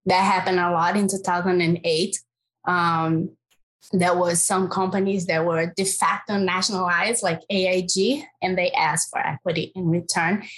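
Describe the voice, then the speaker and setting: A woman speaks with a Brazilian accent, laying out her research as an interview guest. Her voice sits at a middle pitch, and she talks earnestly, pausing on fillers and restarting phrases as she thinks. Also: poor audio. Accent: Brazilian Portuguese. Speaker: climate policy researcher. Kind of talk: interview answer.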